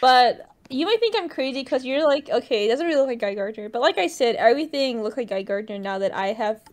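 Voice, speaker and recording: A woman improvising a giddy, besotted girlfriend vlogger for a comedic dub, rambling haltingly and giggling. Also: a little high, expressive, natural voice. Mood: chatty. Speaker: fandub performer. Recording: noisy home mic with clicks.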